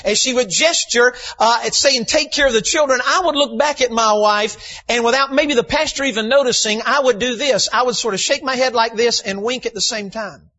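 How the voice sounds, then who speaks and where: A man, a visiting preacher, slightly hoarse, telling folksy stories and building to shouted emphasis in a sermon. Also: extremely high pitch, a little fast. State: slightly hoarse from lack of sleep. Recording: dull lo-fi church recording.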